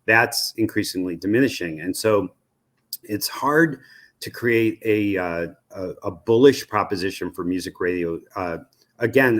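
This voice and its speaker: An American man speaking as an interview guest, giving conversational expert commentary in a measured way, often halting to search for the right word. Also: sits low, a bit bright. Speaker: interview guest, radio research executive.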